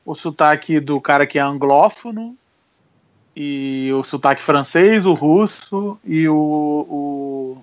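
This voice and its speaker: A man with a Carioca Portuguese accent, telling stories in an animated way during a casual podcast chat. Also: on the high side, takes it slowly, no room sound. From Rio de Janeiro, Brazil. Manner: lively, animated storytelling with laughs. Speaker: podcast co-host. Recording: dry, slightly dull audio.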